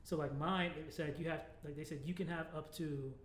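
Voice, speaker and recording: A man's voice: a little high, fairly even intonation, brisk. A young American man co-hosting a podcast, chatting casually and informally, often dropping in the word "like". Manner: informal, peppers speech with "like". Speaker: podcast co-host. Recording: echoey room with faint background noise.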